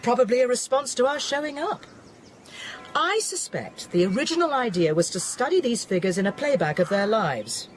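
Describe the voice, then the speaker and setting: A rational woman scientist played by an actress in a radio drama, explanatory and steadying. Her pitch moves freely as she reasons, over faint noise. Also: sing-song. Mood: thoughtful. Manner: explanatory, measured, thoughtful. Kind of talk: audio drama acting.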